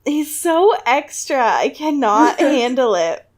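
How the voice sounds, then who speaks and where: Slow-paced, high-voiced woman chatting. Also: sing-song. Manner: exasperated, dramatic fangirl talk with slang; giggly, laughs often. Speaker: podcast co-host. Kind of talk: casual book-discussion chat.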